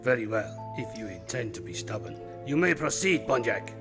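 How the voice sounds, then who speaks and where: A man's voice: medium pitch, sing-song, moderate pace, over music. An actor playing a cold, cruel henchman in an amateur radio drama, speaking in a formal, clipped way. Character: cold, cruel male thug, formal and menacing. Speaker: villain's henchman.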